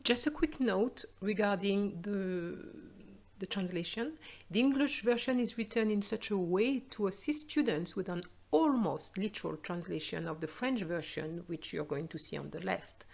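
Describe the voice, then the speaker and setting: A woman with a mid-range voice speaks slowly and deliberately, like a teacher reading a story to learners. Her delivery is expressive, with careful phrasing that is easy to follow. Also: medium pitch, creaky. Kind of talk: slow, clear story reading for language learners.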